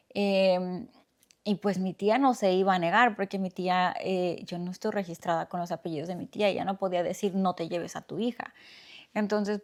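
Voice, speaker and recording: A young woman from Oaxaca with a Mexican accent, hosting a podcast and telling her own story in a soft, reflective way with slow pauses. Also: fairly low pitch. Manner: soft-spoken, reflective, speaks slowly with pauses. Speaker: podcast host. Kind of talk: personal storytelling monologue.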